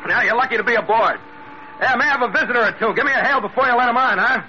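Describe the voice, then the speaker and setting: A man's voice: extremely high pitch, very fast, sharp tone, heavily acted. A man acting in an old-time radio adventure, playing a tough, wry sea captain who narrates in a blunt, clipped way. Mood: irritated. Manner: hard-boiled, blunt, clipped. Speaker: lead actor and first-person narrator. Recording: noisy, muffled vintage radio recording.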